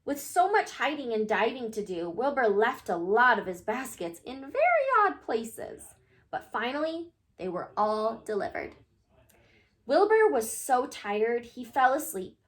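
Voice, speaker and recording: Animated, high-voiced woman reading a story. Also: unhurried. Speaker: read-aloud storyteller. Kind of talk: expressive children's story narration.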